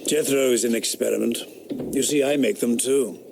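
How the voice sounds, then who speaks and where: A man's voice: medium pitch, normal speed, creaky, noisy background, lightly acted. A man playing a scornful Georgian philosopher. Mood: sardonic. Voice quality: scornful, declamatory. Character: sardonic 18th-century philosopher, scornful, rationalist man. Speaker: actor in radio drama. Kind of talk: period radio drama acting.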